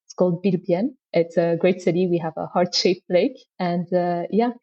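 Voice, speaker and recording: Young woman, from Afghanistan, mellow, lowish, hesitant fillers, muffled recording. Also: steady tone, normal speed. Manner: thoughtful, many fillers. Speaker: guest, PhD scientist. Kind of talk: podcast interview answers. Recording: dull lo-fi audio.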